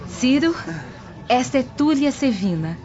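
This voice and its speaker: A woman's voice: high-pitched, big pitch swings, a little slow, mellow, faint music behind. A woman playing a tender, polite young noblewoman in a radio drama. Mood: gentle. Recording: dull lo-fi radio drama recording.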